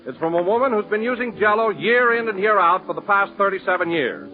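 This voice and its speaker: A man working as the announcer on a 1930s radio comedy show, speaking in a booming, formal announcer style. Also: sing-song, a little fast, over music. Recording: tinny 1930s radio broadcast recording.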